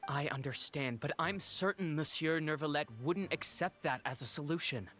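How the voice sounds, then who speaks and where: Formal, dutiful investigator, music beneath. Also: male voice, on the high side, sharp tone. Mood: cautious. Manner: formal, measured, respectful. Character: dutiful subordinate investigator reporting to his captain. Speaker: game character voice actor. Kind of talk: expository game dialogue. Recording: background music under the voice.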